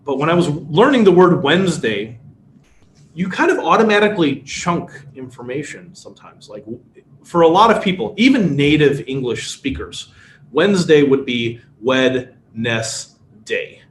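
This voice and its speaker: A middle-aged man with a Chicago accent, who grew up speaking Polish, giving a relaxed webinar lecture with everyday examples and frequent fillers. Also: fairly high pitch, unhurried, reverberant, slight background noise, poor audio. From United States. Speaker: workshop presenter. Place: indoor room. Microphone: webcam video call.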